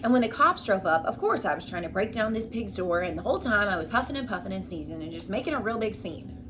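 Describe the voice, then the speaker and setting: A woman's voice: medium pitch, animated, fast, background noise, close to an everyday voice. A woman voicing a male wolf who tells his own storybook tale in an aggrieved, self-justifying, conversational and dramatic way. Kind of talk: children's storybook read-aloud.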